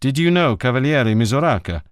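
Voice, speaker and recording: A man's voice: mid-range voice, sing-song, a little fast, clean tone, no echo, clean studio sound, close to an everyday voice. A man reading an audiobook, voicing a terse male police inspector who speaks curtly and bluntly. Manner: curt, blunt. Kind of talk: audiobook character voice.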